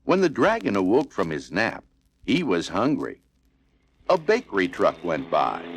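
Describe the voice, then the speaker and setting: A man's voice: on the low side, fairly bright tone, a little hiss or hum. A man narrating a children's read-along storybook in a scripted style. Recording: music playing behind narration.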